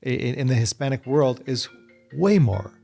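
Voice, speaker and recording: A man's voice: medium pitch, animated, moderate pace, vocal fry, mellow, faint music behind. A middle-aged man answering interview questions earnestly, often restarting and repeating words. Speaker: guest, Bible society secretary.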